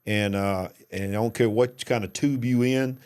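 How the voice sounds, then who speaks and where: A man's voice: lowish voice, steady tone, normal speed, darkish tone, clean tone. An American man hosting a casual reaction talk show, emphatic and joking with a preacherly edge. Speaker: host.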